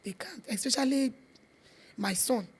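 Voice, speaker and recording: A middle-aged Nigerian woman speaks with a Yoruba accent in a relaxed interview, her voice husky and a touch high. She is earnest and emphatic, circling back to repeat her points and dropping in Yoruba phrases. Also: measured pace. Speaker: actress, interview guest. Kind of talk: casual interview chat.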